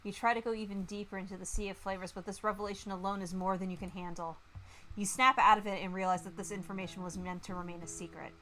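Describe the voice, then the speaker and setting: A woman livestreaming a visual novel, reading it aloud and chatting with giggly, expressive reactions. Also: mid-range voice, fast talker, a little hiss or hum, low-quality recording. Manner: giggly, expressive, reacting between read lines. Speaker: streamer playing a visual novel. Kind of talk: livestream game read-along with chatty reactions.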